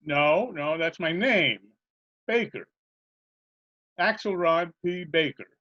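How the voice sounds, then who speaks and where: A man's voice: on the high side, slow pace. A man playing a genial, scatterbrained butcher in old-time radio comedy style, chatty, earnest and politely literal-minded. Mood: earnest, muddled. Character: genial scatterbrained male butcher who muddles every question. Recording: dull remote video-call recording.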